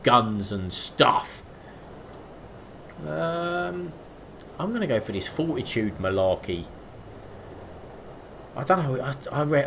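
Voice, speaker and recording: Lively-pitched gamer, jokey running commentary, game ambience and steady noise behind. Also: male voice. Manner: chatty, jokey, talks to himself and the animals. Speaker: let's play gamer. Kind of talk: casual gameplay commentary.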